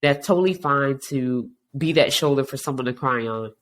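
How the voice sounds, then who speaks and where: A woman's voice: extremely low pitch, normal speed. Stuffy-voiced woman hosting a podcast. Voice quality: stuffy, congested. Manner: frank, conversational, many restarts. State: allergies. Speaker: podcast host. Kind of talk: casual podcast monologue.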